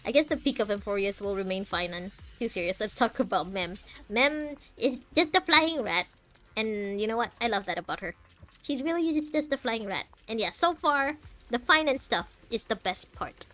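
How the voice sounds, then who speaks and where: A woman's voice: on the high side, expressive, moderate pace, sharp tone. A woman giving casual, chatty livestream commentary while playing a game, giggly and drifting into asides. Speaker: game streamer. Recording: faint game music behind the voice.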